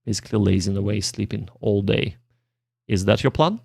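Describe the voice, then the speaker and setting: A man's voice: on the low side, brisk, vocal fry, darkish tone, slightly rough, no room sound, studio quality. A man doing casual live study-stream commentary, thinking aloud and translating hesitantly. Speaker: streamer, language learner.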